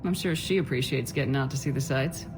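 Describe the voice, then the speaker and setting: A woman playing a composed, pragmatic military commander in a video game, with a measured, direct delivery. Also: rumbling low, over music, low-quality recording. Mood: calm, reassuring.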